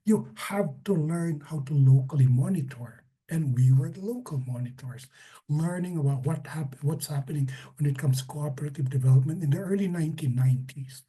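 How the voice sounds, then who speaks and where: A middle-aged man from the Philippines speaks on a career panel in a fairly high, husky voice. His tone is mellow and relaxed, and he talks conversationally with playful asides. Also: fairly quick, poor audio. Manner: conversational, asides and self-deprecating jokes. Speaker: panelist, development consultant. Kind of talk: personal career talk at an info session.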